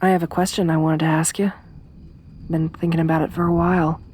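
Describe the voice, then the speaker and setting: A woman voicing a tough young woman survivor around thirty in an ASMR roleplay, soft-spoken with dry humor. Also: low-pitched, flat delivery, a little fast, husky. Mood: relaxed. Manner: hushed whispering when hiding.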